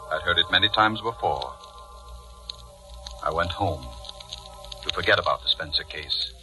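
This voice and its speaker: An actor playing a stern, unmoved judge in a formal, declamatory 1940s radio drama style. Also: male voice, sits low, lightly acted, rough recording. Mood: weary, unmoved. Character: stern, unmoved male judge, coldly authoritative. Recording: bright, thin 1940s radio recording.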